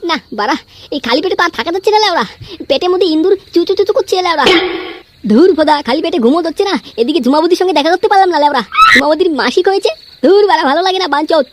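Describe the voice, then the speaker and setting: A woman's voice: very high-pitched, expressive, racing. A woman voicing a crude, hot-tempered young village man in a comic cartoon, grumbling and swearing constantly. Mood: hungry, frustrated. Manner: foul-mouthed grumbling, peppered with swearing. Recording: faint background noise.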